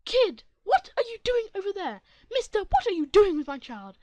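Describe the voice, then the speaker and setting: A woman voicing a shrill, hysterical entitled mother who shrieks and complains indignantly. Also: big pitch swings, fairly quick, a bit bright, no room sound, exaggerated voice. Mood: shrieking. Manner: shrieking, high-pitched, indignant.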